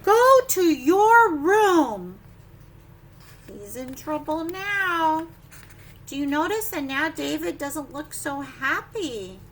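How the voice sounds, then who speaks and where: A woman leads story time for preschoolers in a high, bright voice. She takes her time, with a sing-song, exclaiming delivery full of questions to the children. There is some noise behind her. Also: rough recording. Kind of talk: animated read-aloud to young children.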